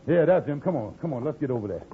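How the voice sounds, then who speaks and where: A man's voice: mid-range voice, animated, fast, a little hiss or hum, close to an everyday voice. An actor playing a blustering, nosy, insistent man who cajoles emphatically, in old radio comedy style. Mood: urgent. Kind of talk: 1940s radio comedy acting. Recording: thin phone-like old radio recording.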